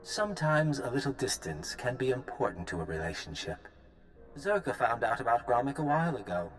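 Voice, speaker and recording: A man's voice: mid-range voice, big pitch swings, brisk, lightly acted, poor audio. An actor playing a smug, menacing corporate villain in a video game, sardonic and mock-polite as he taunts. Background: game music.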